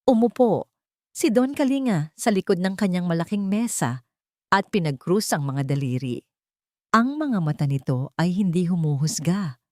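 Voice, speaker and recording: A woman with a Filipino accent narrates scripted drama in a fairly low register. Her pitch moves with lively swings, and the sound is dry and close. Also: normal speed, no room sound. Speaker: audiobook narrator.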